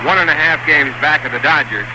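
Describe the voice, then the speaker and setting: A male announcer giving steady, measured play-by-play of a baseball game on a 1960s radio broadcast, rising when the action picks up. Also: fairly high pitch, heavy background noise. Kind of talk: live baseball radio commentary. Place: ballpark broadcast booth.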